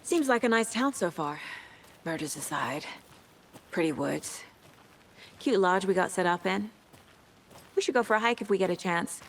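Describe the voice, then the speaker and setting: A woman's voice: on the low side, animated, normal speed, long pauses, a bit bright. A woman playing a composed, driven FBI agent in a video game, speaking in a focused, analytical, matter-of-fact way. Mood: light, friendly. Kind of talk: cinematic video game acting. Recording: clear background ambient noise.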